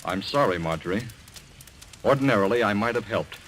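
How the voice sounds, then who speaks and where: A man's voice: fairly low pitch, lively intonation, a little fast, a bit bright. A man acting in an old-time radio mystery as an earnest, anxious young man trying to stay reasonable. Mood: placating. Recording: noisy old radio recording.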